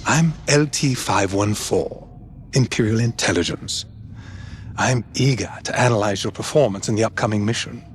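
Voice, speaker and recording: A man's voice: on the low side, normal speed, a little hiss or hum, lightly acted. A man voicing a polite, eager droid intelligence analyst, fussy, precise and formal, in a sci-fi game. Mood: polite eagerness. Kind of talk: cinematic sci-fi game acting. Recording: music behind the voice.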